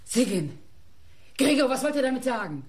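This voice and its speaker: An actress playing a haughty, accusing wealthy widow in a German radio crime drama. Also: female voice, sits high, very animated, moderate pace. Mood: outraged.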